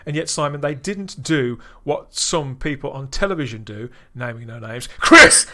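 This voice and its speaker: A man's voice: mid-range voice, animated, moderate pace, pushed and bright. A man hosting a podcast review chat, enthusiastic and pulling his co-hosts in with tag questions. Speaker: podcast host. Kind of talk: casual review discussion.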